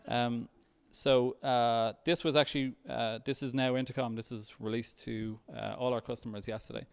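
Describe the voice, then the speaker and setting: A man giving a software talk with a live demo, speaking in a halting, hesitant way. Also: mid-range voice, flat delivery, vocal fry, clean tone. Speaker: software developer presenting at a tech meetup.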